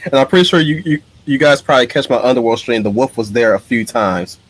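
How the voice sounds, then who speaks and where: A man's voice: medium pitch, quick pace, poor audio. Blunt man hosting a live stream. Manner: blunt, repeats words while starting sentences. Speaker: stream host. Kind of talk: casual live-stream chat.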